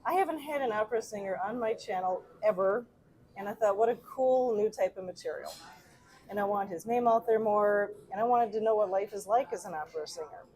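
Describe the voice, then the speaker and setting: A woman's voice: mid-range voice, fairly quick, a little mellow, low-quality recording. Woman hosting a restaurant interview. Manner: friendly and encouraging, short prompts. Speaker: host.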